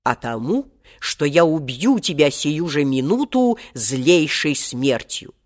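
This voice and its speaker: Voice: mid-range voice, big pitch swings, slow pace. A storybook reader voicing an ancient, wrathful male genie who speaks in a pompous, archaic and menacing way. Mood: angry, menacing. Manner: archaic, grandiose, menacing speech. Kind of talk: acted children's storybook reading.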